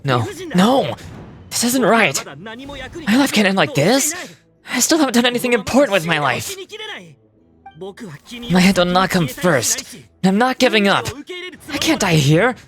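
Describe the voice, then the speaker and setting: An actor voicing a frail but determined young would-be warrior in a video game, earnest and impassioned. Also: male voice, sits high, very animated, measured pace, pauses often, fairly bright tone, faint music behind, full character voice. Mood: desperate, defiant. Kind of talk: scripted video game voice acting.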